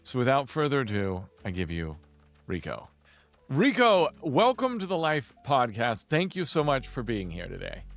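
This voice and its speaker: A man's voice: medium pitch, wildly swooping pitch, moderate pace, a bit bright, faint music behind. A man hosting a podcast, welcoming his guest in a friendly way and stumbling a little as he starts his questions. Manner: friendly and welcoming, stammering slightly on openings. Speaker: podcast host. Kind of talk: interview hosting.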